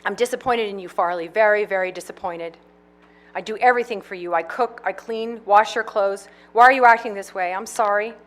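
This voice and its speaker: Strict nagging big sister, as a lady reading a play puts her on, clipped and a bit loud. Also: medium pitch, fairly quick, lightly acted. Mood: scolding. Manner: scolding, clipped. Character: stern bossy older sister, grown woman.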